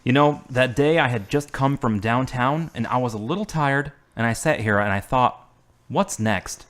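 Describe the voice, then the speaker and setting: A middle-aged actor playing an earnest, devout man recovering from surgery in a radio drama, speaking in a measured way. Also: mid-range voice, fairly bright tone, lightly acted.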